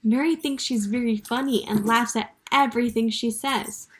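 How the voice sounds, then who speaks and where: Woman reader as a prim, anxious storybook mom, raised pitch. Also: fairly even intonation, normal speed, lightly acted. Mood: worried. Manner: anxious and proper. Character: worried, polite mother.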